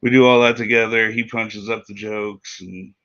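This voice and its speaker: A man's voice: lowish voice, fairly even intonation, moderate pace, a bit bright. A man, animator and co-writer, chatting casually on a video call. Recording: dull video-call audio.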